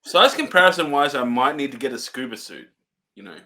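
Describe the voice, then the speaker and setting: Young male co-host, bright and animated, jokey with frequent laughter. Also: mid-range voice, brisk. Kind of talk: casual review chat.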